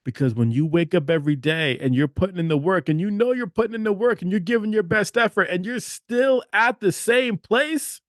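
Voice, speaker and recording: A man's voice: high-pitched, fairly quick, few pauses, no echo. A male podcast host delivers a motivational monologue in a slightly raised voice. He talks emphatically, repeats phrases for weight and keeps checking in with "okay?". The recording is dry and close.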